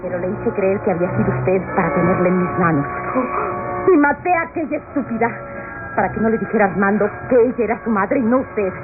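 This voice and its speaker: An actress playing a ruthless, half-mad young murderess in a radionovela, menacing and mocking, in melodramatic style. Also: female voice, medium pitch, expressive, fast talker, close to an everyday voice. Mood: gloating, menacing. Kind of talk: classic Latin American radionovela acting. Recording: tinny old radio sound, loud music behind.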